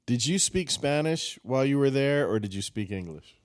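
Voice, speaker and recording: A man's voice: medium pitch, expressive, moderate pace, clean tone. A man hosting a casual podcast chat, asking his guest curious questions. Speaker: podcast host.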